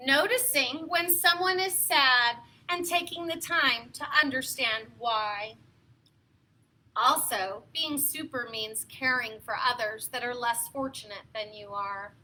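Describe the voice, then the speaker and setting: A woman hosting story time, reading aloud and talking to young children in an encouraging, expressive way with simple phrasing. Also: on the high side, a little slow, rough recording.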